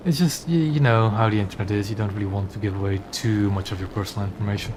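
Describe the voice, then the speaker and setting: A man's voice: lowish voice, fast, noisy background. A man from Belgium with a mixed Belgian and English accent, chatting casually with fillers while streaming a game. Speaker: gaming streamer. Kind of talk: casual commentary while playing.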